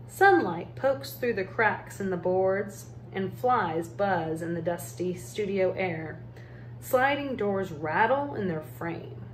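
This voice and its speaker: A woman reading a children's picture book aloud as an educator, in measured, deliberate phrases with pauses. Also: mid-range voice. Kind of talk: storybook read-aloud narration. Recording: roomy echo, faint background noise.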